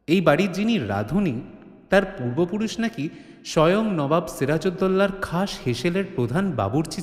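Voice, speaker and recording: A man narrating a comic mystery audio drama as a dramatic scripted storyteller. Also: on the high side. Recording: roomy, long studio reverb.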